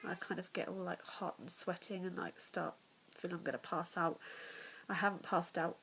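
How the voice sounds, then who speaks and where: A woman's voice: on the low side, fairly even intonation, moderate pace, gravelly, a bit bright, slightly rough, poor audio. A young English woman vlogging casually about her pregnancy, congested and nasal, chatty and candid, often dropping to a whisper, at home in the evening. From England.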